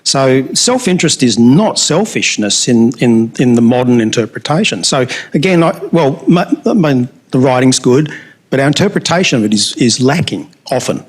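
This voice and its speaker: Measured man commenting from the audience. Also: mid-range voice, expressive, husky. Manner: measured, searching, slows down to make a point. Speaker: audience member. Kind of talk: commenting at a panel Q&A.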